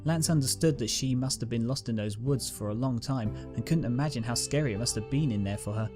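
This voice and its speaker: A man narrating a fan-written pony story in a calm, steady reading voice. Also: mid-range voice, fairly quick. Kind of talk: fanfic audiobook reading. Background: guitar music.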